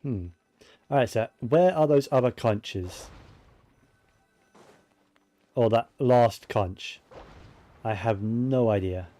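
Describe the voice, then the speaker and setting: Man, dark relaxed voice, casual gameplay commentary, thinking aloud, faint music behind. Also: medium pitch, takes it slowly, pauses often. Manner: musing, thinking aloud to himself. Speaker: video game streamer.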